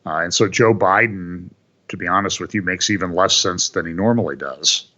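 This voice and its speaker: A deep-voiced man talking about the news on a call, wry and exasperated, in long runs. His tone is low but with a bright, forceful edge. The call audio is a little dull. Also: moderate pace. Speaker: guest commentator. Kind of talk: casual political chat. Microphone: phone or call line.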